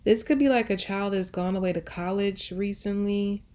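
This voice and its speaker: A woman's voice: medium pitch, steady tone, moderate pace, darkish tone. A woman with a casual, conversational delivery giving an intuitive pick-a-card reading, often circling back to rephrase her thoughts as she goes. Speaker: tarot reader, host.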